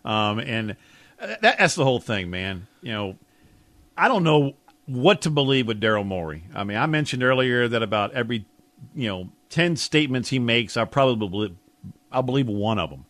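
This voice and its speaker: A man's voice: medium pitch, expressive, moderate pace, fairly bright tone, dry recording. Blunt American man, dry studio sports talk. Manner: blunt, emphatic, colloquial. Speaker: sports talk radio co-host. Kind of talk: opinionated sports talk, casual chat. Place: radio studio. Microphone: close studio mic.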